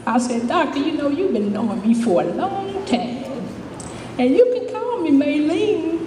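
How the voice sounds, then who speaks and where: Far-off echoey hall, woman acting as a chatty old homeless widow, high swoopy voice, folksy and rambling. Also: animated, a little slow, crowd noise. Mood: wistful, resigned. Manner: folksy, rambling, repeats "you know", chuckling. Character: chatty, good-humored older homeless widow, Miss Maylene. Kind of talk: dramatic monologue, storytelling to a live audience. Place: indoor stage performance, distant microphone.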